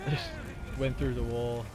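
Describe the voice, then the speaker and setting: A man from Korea with a Korean accent, casually talking through a video game glitch, halting and searching for words in English. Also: mid-range voice, huge pitch swings. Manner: halting, with fillers and restarts, laughs now and then. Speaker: gamer recording a tutorial. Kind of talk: casual gameplay commentary. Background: game music and sounds.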